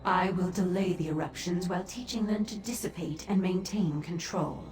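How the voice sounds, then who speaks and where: An actress voicing a cold, composed otherworldly sorceress in a video game, speaking in a formal, measured, haughty way. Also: female voice, on the low side, a little mellow, natural voice. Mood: composed, imperious. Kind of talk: video game character dialogue. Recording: echoing voice over background music.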